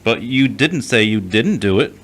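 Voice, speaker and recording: Man playing a low-voiced, guarded, dry man. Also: lively intonation, moderate pace, a bit bright. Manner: terse, dry, blunt. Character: guarded, skeptical man of faith, dryly blunt. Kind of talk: audio drama acting.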